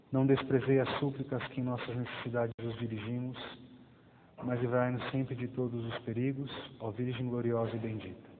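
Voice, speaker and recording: A man in his thirties who leads a soft, quiet prayer and then gives a lively church formation talk from a lectern, recorded on a lapel mic. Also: mid-range voice. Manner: prays softly with eyes closed, then lectures with rising emphasis and tag questions. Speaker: lay formation speaker. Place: small parish hall with plain walls. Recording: reverberant hall, thin phone-like sound, faint hiss.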